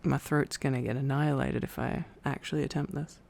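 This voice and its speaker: A man reading a bedtime story in a sleepy, informal ASMR style, with relaxed rambling asides. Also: medium pitch, a little fast, vocal fry, a little hiss or hum.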